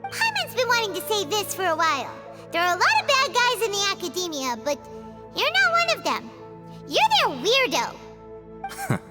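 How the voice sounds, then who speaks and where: Squeaky, very high childlike fairy companion. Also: female voice, huge pitch swings, moderate pace, full character voice. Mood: blunt. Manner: speaks of herself in third person, exclamatory. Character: small childlike floating fairy companion, excitable and naive. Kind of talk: video game character dialogue. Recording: music behind the voice.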